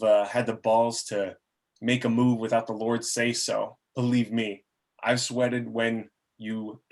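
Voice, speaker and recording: A man's voice: mid-range voice, natural voice. Man playing a grandiose, bitter scheming demon. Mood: gloating. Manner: theatrical, grandiose villain monologue with stumbles. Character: bitter, scheming male demon, fallen muse, self-justifying. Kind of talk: amateur table reading of a film script.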